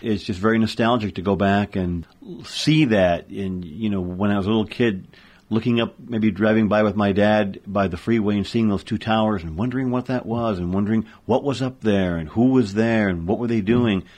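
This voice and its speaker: A man's voice: fairly low pitch, moderate pace, darkish tone, no room sound. A middle-aged or older man hosting a conversational interview in a polite, appreciative manner. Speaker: radio show host and interviewer.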